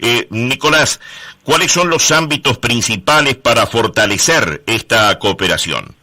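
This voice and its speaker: A man's voice: mid-range voice, lively intonation, a little slow, bright, forceful tone. A man hosting a radio interview, formal and full of hesitant fillers. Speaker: radio host. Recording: radio broadcast audio.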